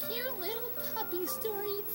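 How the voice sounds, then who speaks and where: Very high squeaky trailer announcer. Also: normal speed, over loud music. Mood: gushing. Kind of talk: parody movie trailer voice-over.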